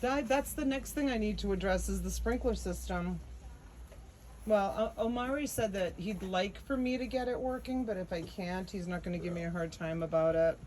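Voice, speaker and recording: A woman's voice: mid-range voice, moderate pace, darkish tone. Blunt, teasing woman in a noisy recording. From Sicily, Italy, moved away at six. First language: Italian. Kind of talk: casual banter during outdoor plumbing work.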